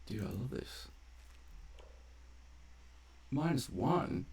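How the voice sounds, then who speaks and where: A man giving casual gameplay commentary, muttering asides and exclaiming as he reacts out loud. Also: medium pitch, lively intonation, drawn-out words, vocal fry, husky. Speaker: gamer narrating a playthrough.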